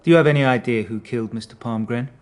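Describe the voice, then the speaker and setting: An actor playing a patient, dryly sceptical police detective in a radio drama, questioning in a measured, understated way. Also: male voice, mid-range voice, close to an everyday voice. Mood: probing.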